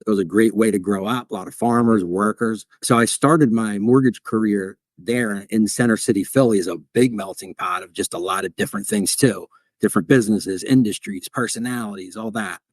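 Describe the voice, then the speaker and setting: A man with a Philadelphia accent from South Jersey, a mortgage lender guest telling his story in a relaxed, conversational way. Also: lowish voice, fairly quick, no echo. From South Jersey, near Philadelphia. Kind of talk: casual interview conversation.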